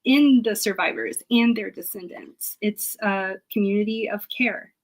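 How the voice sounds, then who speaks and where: Earnest, hesitant woman, mid-pitched interview guest. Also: a little slow, poor audio. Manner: hesitant, searching for words, earnest. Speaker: guest, public historian. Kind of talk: casual reflective interview talk.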